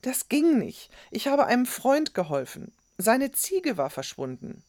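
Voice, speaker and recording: A woman's voice: very animated, a little slow, darkish tone. A woman voicing a young boy in a told story, an eager, apologetic and defensive grandson with an urgent, breathless way of speaking. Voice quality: breathless, high-pitched.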